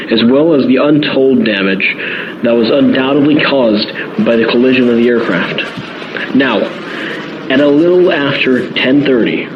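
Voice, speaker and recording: A man's voice: mid-range voice, lightly acted. Man acting as radio field reporter, noisy muffled link. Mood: grave, shaken. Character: male radio reporter, awed eyewitness, vivid and earnest. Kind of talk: live eyewitness radio report, acted.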